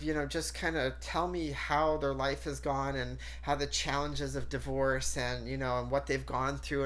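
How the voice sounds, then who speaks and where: A woman's voice: extremely low pitch, a bit bright. A woman hosting a talk show, chatty and full of fillers, sounding stuffy and nasal from a cold. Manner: chatty, laughs often, many fillers. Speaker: host. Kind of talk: casual talk show chat.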